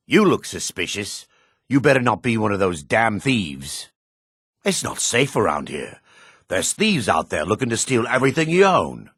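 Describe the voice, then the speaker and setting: An actor playing a gruff, wary, embattled male dark elf with a raspy, gravelly voice in a fantasy video game. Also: low-pitched, no echo, clean studio sound, full character voice. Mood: wary, bitter.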